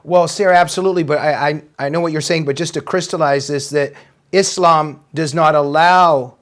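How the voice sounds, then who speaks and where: A man hosting an interview, asking questions in a halting way and restarting often. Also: on the high side, fairly even intonation. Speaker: host. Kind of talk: interview host.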